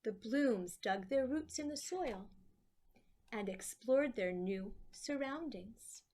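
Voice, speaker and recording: Slow, high-voiced woman reading a storybook. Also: lively intonation, darkish tone. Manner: slow, deliberate phrasing with dramatic emphasis. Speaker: storybook reader for children. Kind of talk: expressive children's story read-aloud.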